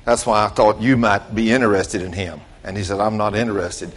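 A man's voice: lowish voice, fairly even intonation, a little fast, a little hiss or hum. A man, giving an informal storytelling sermon in a folksy, plain-spoken way, talking straight to his listeners. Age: late 60s. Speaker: preacher.

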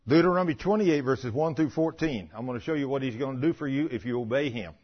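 A man's voice: mid-range voice, fast, dull audio. A man preaching in a folksy, emphatic way, reading scripture and putting plain questions to the congregation.

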